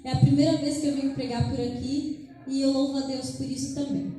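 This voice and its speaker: A woman's voice: high voice, steady tone, a little fast, mellow, faint music behind. A woman with a São Paulo Brazilian accent giving an informal testimony as a guest preacher, conversational and grateful in manner. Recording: very echoey church hall.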